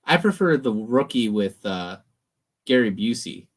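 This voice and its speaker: A young man co-hosting a casual movie chat, animated and sarcastic. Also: medium pitch, a little slow. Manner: animated, sarcastic, mocking voices. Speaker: podcast co-host.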